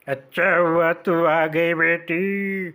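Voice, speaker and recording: A man's voice: on the high side, flat delivery, extremely slow, bright, forceful tone, smooth, heavily acted. A man playing a worried, fatalistic older village uncle in a cartoon horror story, fretful and fatherly. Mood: anxious. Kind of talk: animated horror story dialogue.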